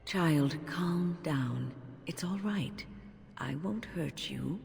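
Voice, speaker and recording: A woman playing a calm, reassuring mage in a video game, soothing and formal, in a long reverb. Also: low voice, drawn-out words, vocal fry, exaggerated voice. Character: calm, reassuring older female mage, gentle and steadfast. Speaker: game character. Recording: long hall-like reverb effect.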